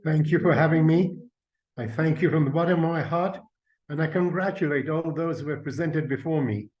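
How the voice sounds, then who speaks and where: An older man from Uganda speaks as a guest presenter in an online session. His voice sits slightly high, and his delivery is earnest and measured, like unhurried storytelling. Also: darkish tone, rough recording. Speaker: invited speaker, company representative. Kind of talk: webinar presentation.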